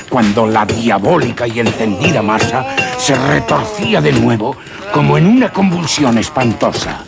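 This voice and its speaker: Gravelly, ominous storyteller, music behind. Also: male voice, medium pitch. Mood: tense, horrified. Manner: solemn, deliberate, dramatic. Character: grave male storyteller of an old devil legend, solemn and ominous. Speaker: narrator. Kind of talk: scripted narration, suspense radio theatre. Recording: background music under radio narration.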